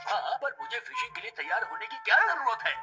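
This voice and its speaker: A man voicing a boastful, defensive, grumbling middle-aged father in a Hindi cartoon dub, with a husky voice. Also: very high voice, lively intonation, fast, over music, heavily acted. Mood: puzzled, impatient.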